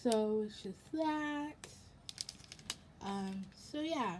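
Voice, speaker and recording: A young American woman with a fairly high, slightly raspy voice talks casually to camera. She rambles and halts at a slow pace. There is clear background noise in the room. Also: animated, darkish tone. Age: young adult. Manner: rambling, halting. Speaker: vlogger. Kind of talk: casual haul vlog chat. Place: indoors in a home room.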